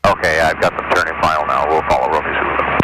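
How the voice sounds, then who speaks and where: A man's voice: a bass voice, steady tone, rapid-fire. A man flying as a pilot, giving position reports and readbacks to the tower over aircraft radio. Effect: aviation radio. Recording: very noisy cockpit radio audio.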